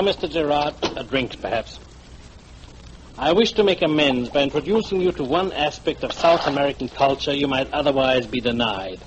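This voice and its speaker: An actor playing a fat, weary, self-styled guide in a 1940s radio drama, wordy and ingratiatingly polite. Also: male voice, mid-range voice, highs cut off, natural voice. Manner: florid, wordy, over-polite. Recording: noisy vintage 1940s radio recording.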